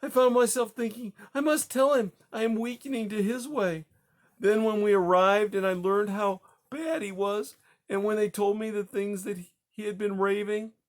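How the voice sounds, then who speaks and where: A man's voice: high voice, fairly even intonation, moderate pace, pitched above the performer's own voice, lightly acted. A man voicing a grieving, distraught woman who stammers through her tears. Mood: anguished. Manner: breathless, stammering, rising to hysteria. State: crying.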